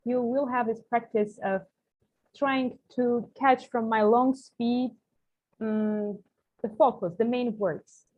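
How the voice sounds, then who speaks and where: A woman coach talks fluently and chattily through a narrow, phone-like call line. Her voice sits a little high. She explains her course ideas over a video call. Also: steady tone, slow. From Armenia, raised in Russia. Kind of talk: online group lesson. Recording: tinny phone-like call audio.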